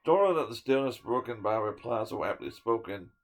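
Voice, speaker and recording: A man giving a dramatic reading of a poem, swinging from loud declaiming to hushed whispers and sometimes tripping over a word. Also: mid-range voice, expressive, a little fast. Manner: stumbles and restarts words now and then. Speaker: storyteller reading a poem aloud.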